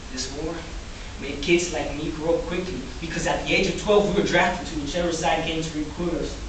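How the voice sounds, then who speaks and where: A young man giving a solemn, memorized stage narration in a declamatory manner. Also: a little high. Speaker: student performer. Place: large hall, performance stage. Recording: echoey hall from far off, faint hiss.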